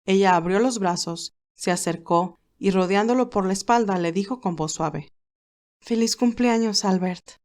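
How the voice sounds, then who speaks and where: A woman reading scripted story narration in a measured, expressive way. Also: mid-range voice.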